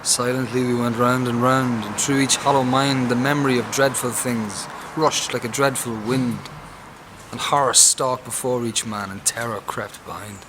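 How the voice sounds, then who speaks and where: A man's voice: medium pitch, fairly even intonation, normal speed. A man reading poetry aloud as a dramatic recitation. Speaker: poetry reader. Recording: clear ambient background noise.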